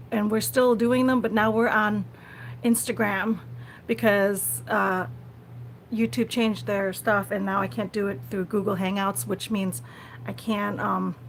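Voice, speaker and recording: A woman hosting a casual live vlog, talking conversationally to viewers and often repeating her phrases, recorded on a phone. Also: mid-range voice. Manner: conversational, repeats phrases, frequent small laughs. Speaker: live-stream host. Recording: noisy phone recording of a livestream.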